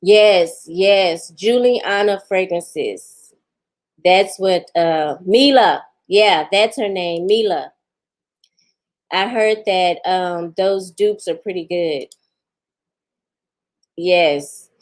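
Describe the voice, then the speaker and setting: A woman's voice: on the low side, extremely slow, pauses often. A woman, chatting casually with viewers on a live stream, laughing often and talking back to them. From Houston, Texas. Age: 40s. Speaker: vlogger answering live chat.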